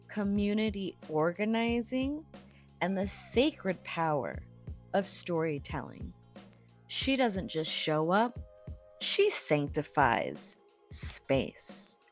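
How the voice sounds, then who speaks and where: A woman hosting a podcast speaks in a lowish voice, slowly and solemnly, weighing each word. Her questions are reflective and deliberate. Soft music plays faintly underneath. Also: sing-song. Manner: slow, deliberate, solemn delivery. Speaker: podcast host. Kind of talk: scripted poetic introduction and interview questions.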